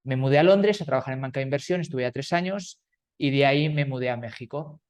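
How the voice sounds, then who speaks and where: A man's voice: medium pitch, fast talker, a little mellow, clear, resonant tone. A man from Spain, a startup founder speaking as a guest, talking loosely with fillers and restarts over a call. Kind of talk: conversational interview. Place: remote call.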